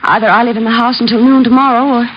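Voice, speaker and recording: Actress as a frightened high-voiced widow. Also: female voice, steady tone, rapid-fire, close to an everyday voice. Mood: anxious, earnest. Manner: hesitant, fluttery. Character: frightened, breathy young female client, a superstitious widow. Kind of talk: 1940s-50s radio drama acting. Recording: muffled, noisy old radio recording.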